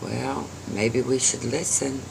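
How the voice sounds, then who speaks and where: Man as calm old man, understated, agreeable, storytelling, medium pitch. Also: moderate pace, background noise, lightly acted. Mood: resigned.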